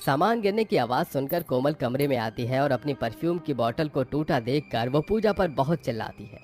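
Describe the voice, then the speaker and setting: A man's voice: medium pitch, animated, brisk, darkish tone, faint music behind. A man giving scripted narration of a story as its narrator.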